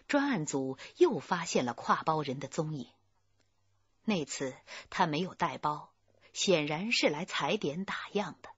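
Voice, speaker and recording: A woman's voice: low voice, husky, no room sound. A woman giving scripted narration for a radio drama. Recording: muffled band-limited dry studio audio.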